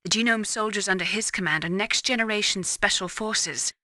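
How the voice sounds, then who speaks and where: A woman playing a composed, secretive scientist in a video game, explaining things in a cool, precise, measured way. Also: mid-range voice, pushed and bright, no room sound, clean studio sound, close to an everyday voice. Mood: matter-of-fact.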